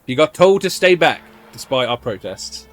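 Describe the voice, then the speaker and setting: A man's voice: mid-range voice, big pitch swings, moderate pace, a bit bright, soft background music. A man live-streaming a game, chatting casually and reading out the story dialogue as he plays. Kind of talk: live let's play, reading game dialogue aloud in character voices.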